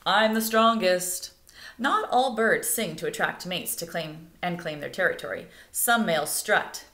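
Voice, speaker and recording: A woman reads a nature picture book aloud as expressive narration meant for children. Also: lowish voice, moderate pace. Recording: echoey room.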